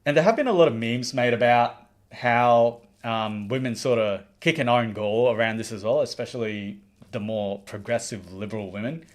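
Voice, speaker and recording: A man's voice: mid-range voice, normal speed. A man from the Maldives hosting a livestream, giving earnest opinionated commentary and reading out viewer comments, with frequent restarts. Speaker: livestream host.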